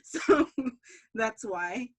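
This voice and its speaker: A woman being interviewed as a writer, chatting casually in an animated, self-deprecating way. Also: on the high side, drawn-out words, breathy edge.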